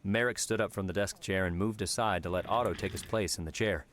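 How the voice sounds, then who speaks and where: Low-voiced man narrating an audiobook. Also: steady tone, fairly quick, a bit bright, a little hiss or hum. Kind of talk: scripted story narration.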